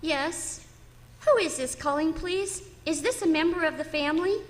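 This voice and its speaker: A woman's voice: extremely high pitch, normal speed. An actress playing a polite, reserved, solemn woman answering a household telephone in an old-time radio drama. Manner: polite, reserved, matter-of-fact.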